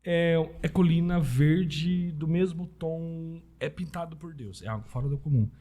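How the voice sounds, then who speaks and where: Mellow, husky Brazilian man chatting. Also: fairly high pitch, measured pace. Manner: informal, anecdotal, peppered with tag questions. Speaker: podcast guest, Italian citizenship specialist. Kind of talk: casual conversational interview.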